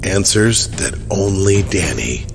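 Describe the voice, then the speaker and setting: A man narrating a horror audio drama in a grave, measured storytelling manner. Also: low-pitched, animated, vocal fry, music in the background.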